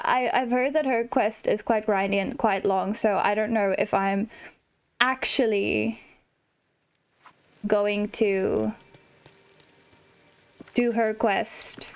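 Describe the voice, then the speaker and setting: A young woman doing casual, chatty let's play commentary, thinking out loud as she goes. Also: medium pitch, smooth. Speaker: gaming YouTuber.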